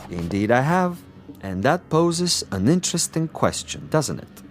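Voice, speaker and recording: An actor playing a suave, boastful assassin commissar in a radio drama, with pompous, precise and silkily polite diction. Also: male voice, on the high side, very animated, a little slow, soft background music. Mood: gloating. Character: suave, boastful male assassin commissar, coolly menacing connoisseur.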